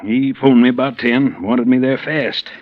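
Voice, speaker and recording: An actor playing a folksy insurance agent with a drawl in a 1950s radio drama. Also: male voice, medium pitch, fairly even intonation, measured pace, close to an everyday voice. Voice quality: drawling, colloquial. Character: folksy Southern male insurance agent, easygoing. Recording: dull old radio broadcast.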